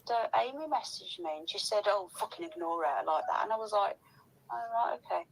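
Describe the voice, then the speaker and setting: A woman's voice: medium pitch, brisk, vocal fry, rough recording. A woman chatting casually and gossiping in a rambling, sweary, complaining way.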